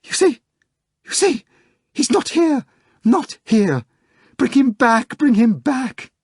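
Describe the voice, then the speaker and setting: A woman's voice: sing-song, slow pace, darkish tone, breathy edge, dry recording, clean studio sound, heavily acted. A man voicing a hysterical young female secretary who wails through her tears in a slightly nasal, breathless way. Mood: frantic. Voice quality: a little nasal from crying. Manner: shrill, frantic, breathless wailing. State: crying. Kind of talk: audiobook character voice.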